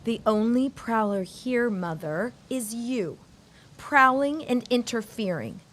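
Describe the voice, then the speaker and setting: Woman playing a high-voiced, haughty young widow. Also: lively intonation, slow pace, a bit bright. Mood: indignant. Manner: formal, clipped, indignant. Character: proud well-off young widow, haughty and exasperated with mother. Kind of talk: period audio drama acting.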